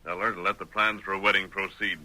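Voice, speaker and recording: A resolute masked cowboy hero in a vintage radio western. His low voice is very forceful and authoritative, with measured wording, on an old broadcast recording. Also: little pitch movement. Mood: calm, resolute. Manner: authoritative, measured, clearly worded.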